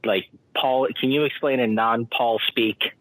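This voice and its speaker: A man who edits scripts, explaining his work in a measured way full of hesitant fillers. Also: mid-range voice, steady tone, a bit bright, no echo, rough recording. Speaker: script editor and writer. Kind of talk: casual interview chat.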